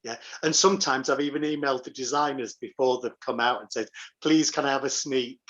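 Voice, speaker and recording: A man's voice: mid-range voice, fairly even intonation, fairly quick, a bit bright. A middle-aged or older man chatting casually and enthusiastically as an interview guest, repeating phrases for emphasis. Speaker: pantomime dame, interview guest. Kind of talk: casual chat.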